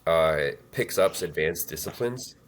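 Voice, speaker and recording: Very low-voiced man in his thirties. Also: animated. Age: 30s. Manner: halting, many fillers and restarts. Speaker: interview guest. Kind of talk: reflective personal storytelling.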